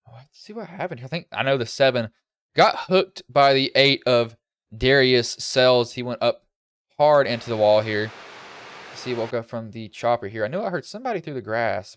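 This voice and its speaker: A young man with a slightly deep voice commentating a sim truck race live, casual and rambling, getting excited when cars wreck. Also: no echo, studio quality. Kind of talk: live sim racing play-by-play.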